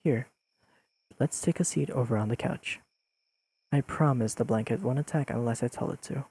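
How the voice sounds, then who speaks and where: Mellow, husky deadpan young man, acted. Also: medium pitch, normal speed. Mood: dry, soft teasing. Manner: deadpan, precise, formal wording with dry teasing. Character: deadpan, pedantic, secretly fond young friend, male. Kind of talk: intimate scripted audio roleplay addressed to the listener.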